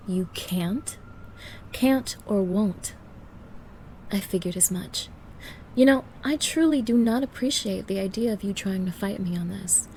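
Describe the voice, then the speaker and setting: A woman's voice: mid-range voice, dark tone, slight background noise, lightly acted, low-quality recording. A woman playing a haughty, demanding young gold digger on a date, scolding and condescending in an audio roleplay. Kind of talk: ASMR-style dramatic roleplay.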